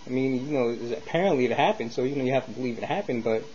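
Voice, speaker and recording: Mellow-voiced American man rambling, lo-fi recording. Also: mid-range voice, rapid-fire. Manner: hesitant, repeats and circles back, colloquial street slang. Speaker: vlogger sharing opinions. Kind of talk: casual rambling monologue to camera. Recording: dull lo-fi sound with faint noise.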